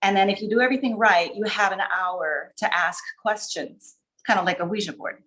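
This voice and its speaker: A woman's voice: medium pitch, fairly quick, a bit bright, low-quality recording. Female writer guest, mid-range voice, informal and rambling, explaining on a group call. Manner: informal, rambling with many asides. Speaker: short story writer, podcast guest. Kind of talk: casual chat, explaining. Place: online video call.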